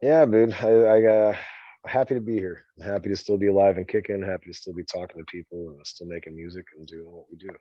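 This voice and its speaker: Low-voiced laid-back male singer, dull dry audio. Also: fairly even intonation, fast talker, dark tone, no room sound. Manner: easygoing, rambling, calls the host "man" and "dude". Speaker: band singer. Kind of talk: casual interview talk.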